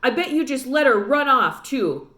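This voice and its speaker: A woman's voice: sits high, sing-song, normal speed, bright, forceful tone, pitched above the performer's own voice, full character voice. A woman voicing a stubborn, upset young girl pleading with her father in a children's book read-aloud, recorded with roomy echo. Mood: defiant, angry.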